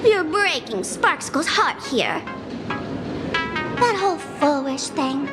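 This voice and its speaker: A woman's voice: high voice, very animated, slow pace, heavily acted. A woman voicing a young, mischievous female trickster in an anime-style game, showy and boastful in a theatrical way. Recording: loud music behind the voice. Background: loud game music.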